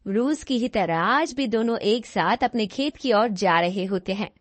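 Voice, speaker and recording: A woman's voice: mid-range voice, animated, a little slow, dry recording. A woman reading scripted story narration as the narrator of a tale.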